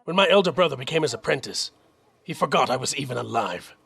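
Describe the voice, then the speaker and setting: A man playing a dutiful young army captain in an audio drama, earnest and measured. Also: medium pitch, animated, creaky, fairly bright tone, breathy edge, full character voice. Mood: firm, resolute.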